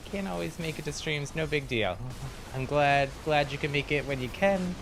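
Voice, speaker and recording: A man's voice: a little high, normal speed, vocal fry, music in the background. A man streaming a game, chatting casually with viewers, friendly and chatty with frequent ums and laughs. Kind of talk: casual chat while gaming on stream.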